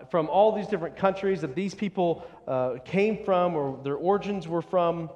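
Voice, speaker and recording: A man preaching an expository sermon, speaking emphatically and deliberately and repeating short phrases for weight. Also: on the high side, darkish tone, lo-fi sound. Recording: long echoing hall reverb.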